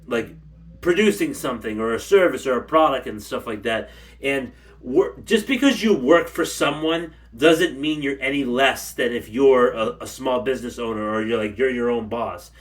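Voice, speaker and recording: A man ranting casually to his camera at home, rambling and blunt, a little stuffy from allergies. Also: mid-range voice. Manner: rambling, blunt, repeats himself. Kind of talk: casual rant. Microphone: camera mic.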